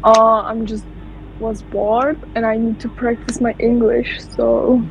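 A woman's voice: on the high side, flat delivery, slow pace, creaky. A young woman from the Czech Republic with a Czech accent, chatting in hesitant English on a video call from her bedroom. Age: young adult. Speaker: stranger on a video chat. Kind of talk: casual video chat. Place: bedroom lit with purple light. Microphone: webcam. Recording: dull lo-fi webcam audio with faint hiss.

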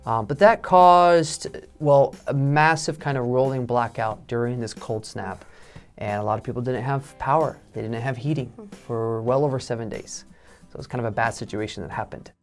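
A man in his thirties from Texas with a Texan accent, presenting an English lesson in a relaxed, chatty way from a studio. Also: medium pitch, normal speed, faint music behind. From Texas, United States. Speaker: English teacher. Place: studio in front of a backdrop.

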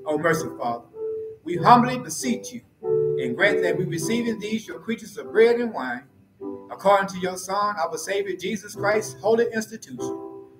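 A man serving as pastor, reciting a communion liturgy in a slow, solemn, measured way from the pulpit of a church sanctuary. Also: high voice, expressive, loud music behind the voice. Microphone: pulpit mic from far camera. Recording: roomy church echo.

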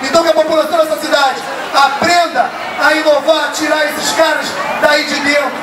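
A young man with a very high, strained voice shouts an accusatory rally speech into a handheld microphone. His voice booms through a loudspeaker and is picked up from far off, echoing around an open street. Crowd chatter and traffic noise sit underneath. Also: flat delivery, fairly quick. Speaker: protest speaker. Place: outdoor street protest in front of city hall. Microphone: handheld microphone through loudspeaker, filmed from a distance.

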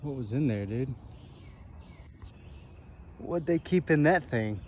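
A man's voice: medium pitch, lively intonation, slow, a little mellow. A young man giving casual, off-the-cuff commentary in a laid-back, slangy way as he vlogs on a handheld camera. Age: young adult. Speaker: vlogger. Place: outdoors on a wooded trail. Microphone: handheld phone or camera at arm's length. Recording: outdoor nature ambience, faint noise.

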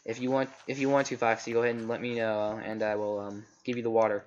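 A man's voice: lowish voice, level tone, fast talker. A young man giving casual, rambling commentary while playing a game, his voice a little scratchy, often correcting himself mid-sentence. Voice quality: slightly scratchy. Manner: rambling, self-correcting, chatty. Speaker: gaming let's play host. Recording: slightly dull home recording with faint noise.

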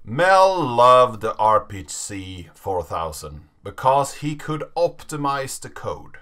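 Guy doing a dramatic story reading, slow n emphatic, really animated pitch. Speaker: narrator reading a story aloud.

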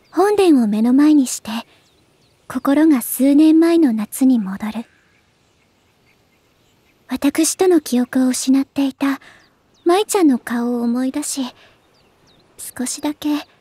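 A woman playing a gentle, refined, sentimental young lady idol in an anime voice drama, speaking softly and politely. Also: high voice, slow, long pauses, no room sound. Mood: wistful, tender. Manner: soft, polite, ladylike, wistful. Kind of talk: anime game voice drama, narration and dialogue.

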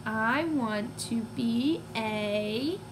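A woman voicing a discontented young male storybook bunny, listing things with emphatic, drawn-out words. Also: a little high, noisy background. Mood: wistful, determined.

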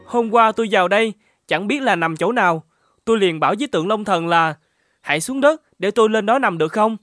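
A scrappy young vagrant from a cartoon folk tale. The voice is very high and youthful. He sounds naive and guileless, with open exclamations. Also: expressive, fairly quick, fairly bright tone, no room sound, clean studio sound, faint music behind. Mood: apologetic.

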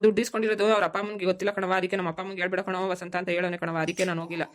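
A woman's voice: on the low side, little pitch movement, racing, natural voice. A woman playing an easygoing young village son in a cartoon family story, pleading and full of excuses. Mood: evasive, pleading.

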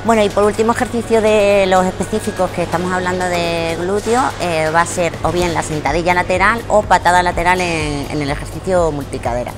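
A woman in her thirties explaining gym exercises on a lapel mic, in a relaxed teaching way with frequent fillers and repeated words. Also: on the low side, a little fast, few pauses. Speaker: fitness trainer. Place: large indoor gym. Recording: music playing behind the voice. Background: background music.

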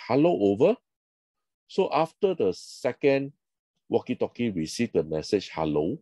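A man with a low, mellow voice narrates a slide lecture in short, explanatory phrases. His English has non-native phrasing. The recording is dry and close. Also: no echo. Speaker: instructor.

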